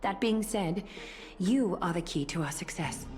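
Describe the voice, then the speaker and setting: A regal game ruler with a slightly low voice. She is formal, composed and authoritative, choosing each word with measure. Music plays clearly behind her. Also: animated, unhurried. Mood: solemn resolve. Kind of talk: dramatic game voice acting.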